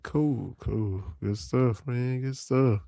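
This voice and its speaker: A hoarse man hosting a casual sports podcast, rambling loosely and repeating himself. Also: mid-range voice, slow pace, dark tone, no echo, clean studio sound. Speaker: podcast host.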